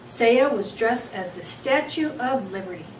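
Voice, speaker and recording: A woman reading a children's book aloud as an expressive storyteller. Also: a little high, a little slow, recorded from a distance. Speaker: story reader. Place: echoey room, away from the mic. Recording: roomy, off-mic, faint background noise.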